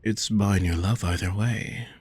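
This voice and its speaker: A man playing a possessive, lovesick wealthy nobleman in a romantic audio roleplay, speaking formally and deliberately to the listener. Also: lowish voice, fairly even intonation, darkish tone, husky. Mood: smug, reproachful. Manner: formal, measured, deliberate phrasing.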